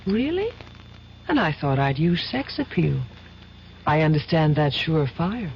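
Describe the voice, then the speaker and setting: Teasing young woman, coaxing and lively, swoops all over, dull old radio sound with a bit of hiss. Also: low voice, a little slow, heavily acted. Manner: playful and coaxing, turning insistent. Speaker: lawyer's girlfriend and boss's daughter. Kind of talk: 1970s radio drama acting.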